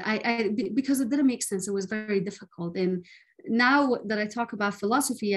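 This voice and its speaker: Brief: woman in her twenties from Afghanistan, interview guest, earnest and fluent. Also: medium pitch. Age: 20s. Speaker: guest, student and activist. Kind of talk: interview answers.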